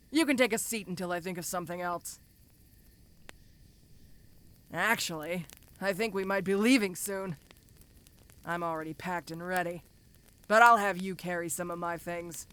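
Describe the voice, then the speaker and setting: A woman's voice: lowish voice, sharp tone, clear, resonant tone. A woman voicing a domineering giant ogre mercenary in an audio roleplay, speaking to the listener in a casual, commanding, teasing way. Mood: amused, commanding. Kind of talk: first-person audio roleplay addressed to the listener.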